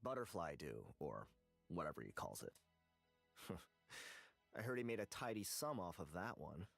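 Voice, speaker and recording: A disgruntled video game researcher with a low, lively voice. He is jealous, curt and defensive, grumbling indignantly over faint music. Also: measured pace, gravelly, no echo, full character voice. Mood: aggrieved. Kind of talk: video game character dialogue.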